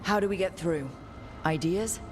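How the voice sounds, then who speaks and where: An actress playing a resolute fantasy team leader in a video game, decisive and commanding. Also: female voice, fairly low pitch, unhurried, music in the background, lightly acted. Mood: steady resolve. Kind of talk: video game drama acting.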